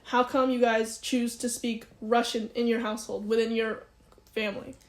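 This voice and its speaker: A woman's voice: fairly high pitch, level tone, moderate pace. A young woman interviewing a friend, asking long, rambling questions with many restarts. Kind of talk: oral history interview.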